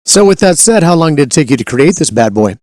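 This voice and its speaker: A man's voice: a little high, sing-song, fast, darkish tone, dry recording. A man hosting a casual interview show, upbeat and hearty, hyping up his guest. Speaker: show host.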